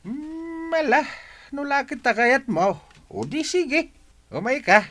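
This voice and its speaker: A man with a Filipino accent playing a brisk but obliging older uncle who owns a farm, in a radio drama. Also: very high-pitched, expressive, a bit bright, full character voice. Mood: surprised, obliging. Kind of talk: Philippine radio drama acting.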